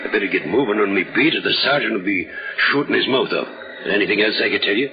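Blustery, animated beat cop. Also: male voice, fairly low pitch, fast talker. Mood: chummy. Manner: streetwise slang, blustery. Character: blustering, talkative male beat policeman. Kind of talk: 1940s radio acting. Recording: muffled old-time radio recording, music.

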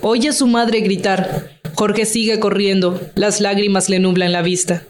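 A woman's voice: mid-range voice, flat delivery, measured pace. A woman delivering scripted narration as the storyteller of a radio drama.

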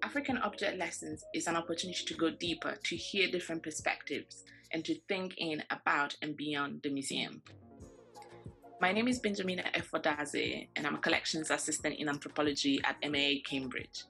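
A woman's voice: deep voice, fairly even intonation, a little fast, vocal fry, bright, forceful tone, faint music behind, low-quality recording. A woman co-hosting a podcast, reading a scripted intro and then asking a long, hesitant question full of fillers. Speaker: podcast co-host, collections assistant.

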